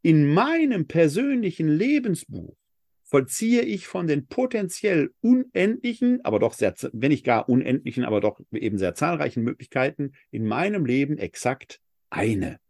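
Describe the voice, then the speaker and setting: A man from Essen giving a Bible lecture, reading scripture slowly and with weight before explaining it reflectively. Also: on the high side, big pitch swings, a little mellow, no room sound, clean studio sound. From Germany (Essen). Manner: reads scripture passages slowly and with emphasis, then explains in a reflective tone. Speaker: Bible teacher.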